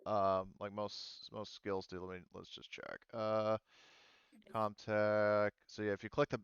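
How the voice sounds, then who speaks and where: A man running a tabletop roleplaying game as game master, explaining informally and haltingly. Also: on the low side, little pitch movement, clean tone, no echo, muffled recording.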